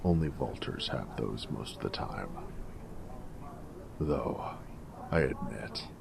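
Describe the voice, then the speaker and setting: A man playing a snarky but friendly ghoul companion in an audio drama, with a gravelly voice and a wry, joking drawl. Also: very deep voice, animated, takes it slowly, background noise, heavily acted. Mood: wry, knowing. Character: snarky, friendly male ghoul wasteland companion, weathered and irradiated. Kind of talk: character roleplay audio drama.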